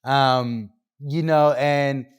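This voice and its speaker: A man's voice: medium pitch, steady tone, very slow, clean tone. A young man from New Hampshire talking loosely as a guest on a podcast, with lots of fillers and restarts. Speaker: guest artist. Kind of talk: casual podcast interview.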